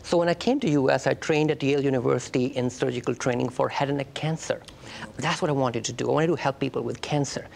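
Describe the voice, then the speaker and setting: A man with a Pakistani accent talks conversationally as an expert guest on a studio interview. His mid-pitched voice has a noticeable creak, and faint noise sits behind it. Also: quick pace, a little mellow. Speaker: facial plastic surgeon, guest. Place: TV studio talk-show set.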